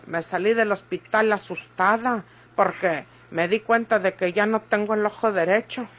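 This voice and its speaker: A woman's voice: medium pitch, fairly even intonation, moderate pace, fairly bright tone, slight background noise, lightly acted. An actress playing a frightened, injured country woman in a Mexican radio soap, plaintive and rustic in speech, with a Mexican accent. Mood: pleading. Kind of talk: Mexican golden-age radio soap acting.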